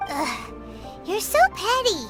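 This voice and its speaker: A woman voicing a tiny, childlike, excitable fairy companion in an anime game. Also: extremely high pitch, slow pace, full character voice. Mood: indignant. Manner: speaks of herself in third person, childlike. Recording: game soundtrack music behind.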